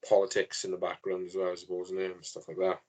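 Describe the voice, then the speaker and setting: A man's voice: low voice, monotone, fast, a little mellow. A man from Wales with a Welsh accent, a podcast guest chatting in a friendly, slightly hesitant way. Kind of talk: casual chat.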